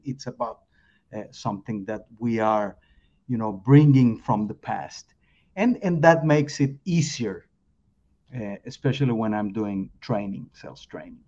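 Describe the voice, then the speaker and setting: A man in his fifties from Mexico, with a Mexican Spanish accent, speaking as a guest sales trainer on a podcast, halting often with fillers and repeated words. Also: mid-range voice, dark tone. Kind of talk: podcast interview. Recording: slightly dull lo-fi sound.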